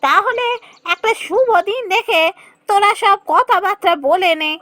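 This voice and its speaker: A woman's voice: extremely high pitch, expressive, unhurried, pushed and bright, soft background music, voice pushed up, full character voice. A woman voicing a chatty, persuasive village matchmaker in a cartoon fairy tale, with a coaxing way of talking. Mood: explaining, persuasive.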